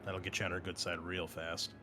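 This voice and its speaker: A man's voice: sits low, completely flat delivery, a little fast. A man hosting a tabletop game show as game master, chatting casually with joking banter and easy swearing. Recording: noisy background ambience.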